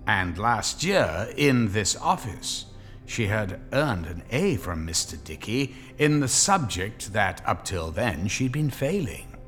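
A man's voice: fairly low pitch, sing-song, takes it slowly, a bit bright, faint music behind. A man narrating a scripted dramatic story as the narrator of an audio drama.

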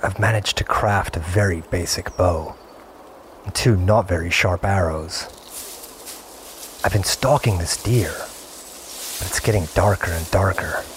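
A man's voice: low voice, unhurried, pauses often, slight background noise. A man playing an earnest, obsessive gamer in an audio drama, confiding his play session in short, broken-up phrases. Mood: wary, tense. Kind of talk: first-person scripted audio drama narration.

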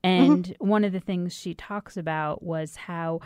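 A woman's voice: on the low side, steady tone, slow, dark tone, clean tone, no room sound, clean studio sound. A woman interviewer asking curious, searching questions.

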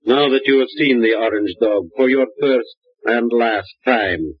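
An actor playing a suave, scheming male crook in a 1940s radio drama, smooth and menacing. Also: medium pitch, measured pace. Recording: dull old radio recording.

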